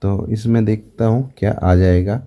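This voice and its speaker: A man's voice: on the low side, steady tone, normal speed, dull audio. A man with a Bengali accent giving a recorded voice-over that walks step by step through a shopping app. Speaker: motovlogger.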